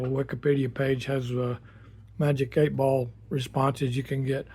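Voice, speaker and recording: Even-toned man casually demonstrating. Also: mid-range voice. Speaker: hobbyist builder presenting a project.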